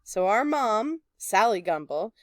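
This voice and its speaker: Female storybook reader, slow and lively in pitch, close dry recording. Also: no echo. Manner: animated, deliberate storytelling. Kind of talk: expressive children's read-aloud.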